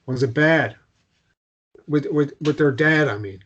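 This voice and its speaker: A man playing an awkward, gently joking American man in love in a naturalistic romance drama read, hesitant and stumbling over his words. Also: on the high side, measured pace, muffled recording. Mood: tender, tentative.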